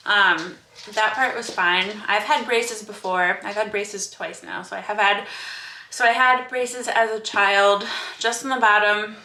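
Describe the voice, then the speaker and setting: Young woman vlogger, slight lisp, bright sharp voice, chatty and rambling, roomy echo. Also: medium pitch. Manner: chatty, rambling with fillers and restarts. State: slight lisp from new aligners. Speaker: fitness vlogger. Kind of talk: casual vlog update. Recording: echoey room.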